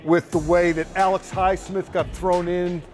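Older man, team reporter, slightly high voice, outdoor sideline chat, faint music under it. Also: level tone, unhurried, a little mellow. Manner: conversational, analytical, occasional dry humor. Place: outdoors at a practice field.

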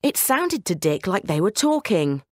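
Animated woman reading a bedtime story. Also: medium pitch, a little slow, dry recording, studio quality. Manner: expressive storytelling. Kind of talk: scripted children's bedtime story narration.

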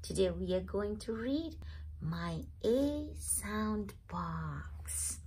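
A woman reading a children's picture book aloud as the channel's host, slowly and with clear stress on each word. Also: medium pitch, expressive, darkish tone, slight background noise. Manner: slow, clearly stressed storytelling.

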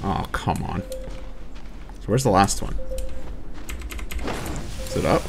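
A man's voice: low-pitched, slow, creaky. A man doing casual let's play commentary and chatting with viewers in a wry, mock-exasperated way. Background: faint game music.

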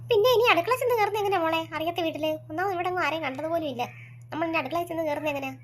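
A woman voicing a calm, patient mother who reassures her children, in a homemade toy play story. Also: extremely high pitch, rapid-fire, voice pushed down. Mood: reassuring.

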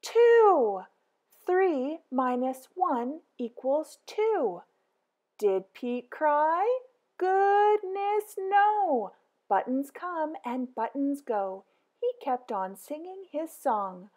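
A high-voiced woman reads a children's picture book aloud, very slowly and in a sing-song way. Her pitch leaps around, and she draws out big excited exclamations. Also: dull audio. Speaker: storybook reader.

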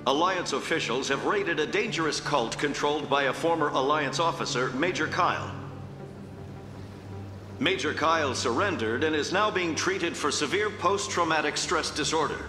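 A man's voice: fairly high pitch, normal speed, lightly acted. A man playing a formal news announcer, reading scripted bulletins in a video game. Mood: newsy. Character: formal male news announcer of a futuristic broadcast. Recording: echoey long reverb, music behind.

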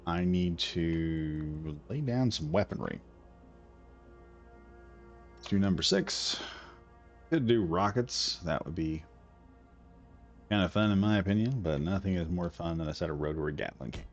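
Deep-voiced man, relaxed game streamer. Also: unhurried, pauses often. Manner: relaxed, rambling, talks himself through each step. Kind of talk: casual gameplay commentary. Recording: slightly dull audio with faint music.

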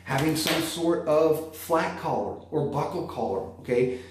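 A man's voice: fairly high pitch, expressive, measured pace, background noise. A man in his thirties, a dog trainer, giving practical tips in a vlog and checking in with "okay?" after each point. He talks straight to the camera from an indoor room. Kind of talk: instructional vlog. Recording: very echoey room.

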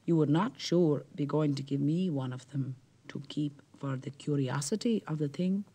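Man doing an excitable fisherman. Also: a little high, moderate pace, breathy edge. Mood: excited. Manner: exclaiming, fretful.

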